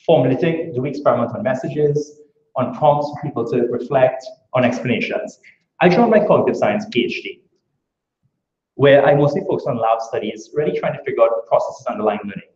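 A man's voice: mid-range voice, brisk, a little mellow. A man from Trinidad and Tobago with a Trinidadian accent, giving an earnest conference talk full of rhetorical questions. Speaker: academic speaker. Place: lecture room. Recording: echoey, dull room recording.

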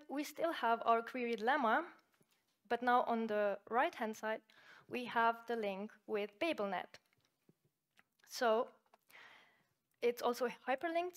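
Woman presenting, Slovenian native, measured. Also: fairly high pitch. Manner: explanatory, measured, with frequent "uh" and "so" fillers. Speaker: conference presenter. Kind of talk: academic conference talk with live software demo.

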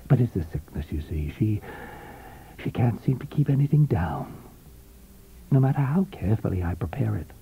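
A man plays a self-pitying husband in an audiobook, confiding in a husky, creaky voice. He is hesitant and carefully reasonable. The sound is muffled. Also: lowish voice, big pitch swings, normal speed, pauses often, heavily acted. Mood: studied reluctance.

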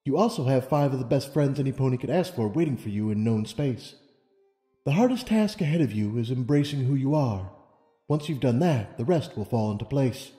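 A man plays a stately pony monarch from a storybook. The voice is mellow and deliberate, calm and wise, gently probing as it goes. It carries in a long, echoing hall reverb. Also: medium pitch, soft background music, lightly acted. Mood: reassuring. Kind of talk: audiobook character reading.

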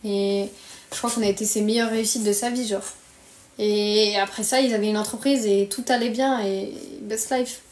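A woman's voice: medium pitch, fairly even intonation, normal speed. A woman in her early twenties from France with a French accent, chatting casually on a livestream in a blunt, slangy, griping way. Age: early 20s. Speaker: live streamer. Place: small bedroom, indoors. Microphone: phone at arm's length. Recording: roomy echo on phone microphone.

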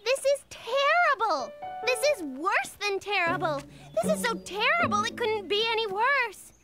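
A woman's voice: extremely high pitch, sing-song, unhurried, loud music behind the voice, heavily acted. A woman voicing a flustered, dramatic female duck in a children's cartoon, exclaiming in a melodramatic way. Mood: distressed.

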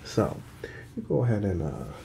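A man in his thirties doing a soothing ASMR roleplay in a soft, breathy whisper and murmur, gentle and reassuring. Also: on the low side, wildly swooping pitch, normal speed, slight background noise. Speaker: ASMR performer as hairdresser. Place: small indoor room set up as a salon.

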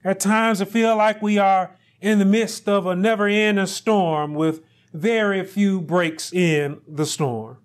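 A middle-aged male pastor preaching a sermon, speaking deliberately and rising to loud, emphatic peaks. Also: high voice, steady tone.

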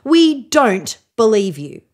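A woman voicing rowdy, mocking young schoolboys from a storybook, loud and drawn-out in delivery. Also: a little high, sing-song, exaggerated voice. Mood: jeering. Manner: loud, drawn-out jeering chant.

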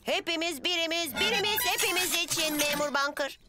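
A woman's voice: extremely high pitch, brisk. A woman voicing an eager, helpful little boy rescue motorbike in a children's cartoon dub, upbeat and given to calling out commands. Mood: proud. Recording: music behind the voice.

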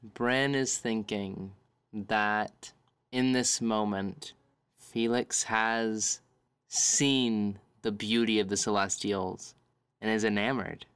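A man in a tabletop role-playing game narrating his character's reactions in short, deliberate phrases. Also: on the low side, a bit bright, clean tone, dry recording, studio quality.

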